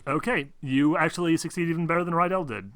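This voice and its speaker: Bright-voiced man running a tabletop game. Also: fairly high pitch, fast talker, slight background noise. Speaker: game master. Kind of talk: casual tabletop game narration over online voice chat.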